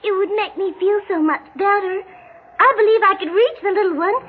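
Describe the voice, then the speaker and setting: Very high-pitched, fretful girlish daughter. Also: a little fast. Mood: girlish, pleading. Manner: melodramatic, prim, old-fashioned turns of phrase. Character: fretful, childlike, affectionate daughter with girlish flights of fancy. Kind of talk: 1960s radio drama acting. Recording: muffled, noisy 1960s radio recording.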